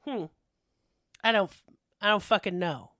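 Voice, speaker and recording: A woman's voice: mid-range voice, measured pace, fairly bright tone. Woman podcaster whos kinda sick, slangy joking storyteller, swears a lot, super casual. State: sick, coughing. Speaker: podcast host telling paranormal stories.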